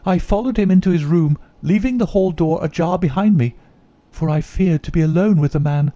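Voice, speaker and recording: A man's voice: high-pitched, brisk, mellow, dry recording, pitched above the performer's own voice, natural voice. A man voicing a troubled young noblewoman who tells her story in an earnest, confessional way. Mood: remorseful.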